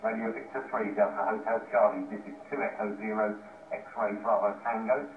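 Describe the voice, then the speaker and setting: A man's voice: on the low side, flat delivery, moderate pace. A man chatting as an amateur radio operator in a friendly, encouraging, effusive way. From United Kingdom. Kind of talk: casual radio contact chat. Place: heard over radio software through computer speakers. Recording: dull, noisy, echoey internet radio link.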